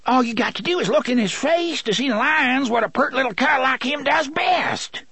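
A man voicing a nasty, cornered, defiant ruffian with rough slangy talk in an audiobook story. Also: extremely high pitch, big pitch swings, brisk, fairly bright tone, no room sound, voice pushed up, full character voice. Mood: desperate protesting.

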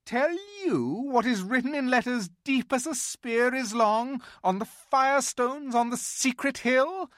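A man reading aloud and voicing a cold, imperious witch queen, shrill and commanding. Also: unhurried, hardly any pauses, a bit bright, no echo, clean studio sound. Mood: menacing. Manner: shrill and commanding, rising when angry.

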